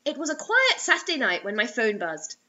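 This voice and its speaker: A young adult woman giving an expressive, theatrical, mocking reading of a story on a webcam in a small room. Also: fairly high pitch, fairly quick, lo-fi sound. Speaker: host reading bad fiction aloud.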